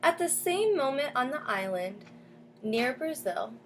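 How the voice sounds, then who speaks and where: A woman with a fairly high voice reads a children's storybook aloud like a teacher. She steps out of the text to explain things and put questions to young listeners. There is faint noise behind her. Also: very animated, measured pace. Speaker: teacher reading a picture book aloud.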